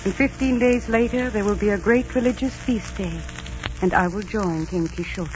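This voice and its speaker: A woman's voice: medium pitch, normal speed, lightly acted. An actress playing a devout, tender young Aztec princess resigned to her fate, in earnest, formal 1940s radio romance style. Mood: solemn, resigned. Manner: earnest, melodramatic, formal diction. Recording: dull 1940s radio recording, music behind.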